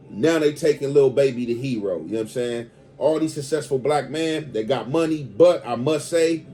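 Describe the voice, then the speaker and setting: A man's voice: a little high, darkish tone, a little hiss or hum. An American man hosting an online commentary video, talking casually and off the cuff in slang and often checking in with the listener.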